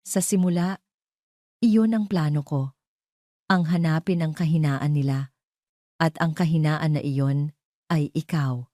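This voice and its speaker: A woman's voice: sits low, steady tone, measured pace, long pauses, warm tone, no room sound, clean studio sound. A woman voicing a sincere, sorrowful friend in an audio drama, speaking earnestly and in a subdued way. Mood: sad admission. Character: sincere, sorrowful female friend confessing a vengeful plan.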